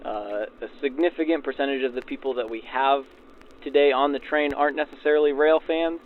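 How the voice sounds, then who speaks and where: A man's voice: medium pitch, fairly quick. A man giving informal, explanatory interview answers as a supervisor, through a vintage radio filter. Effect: backdated 1940s radio filter. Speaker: railroad passenger services supervisor being interviewed. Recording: tinny radio sound with faint noise.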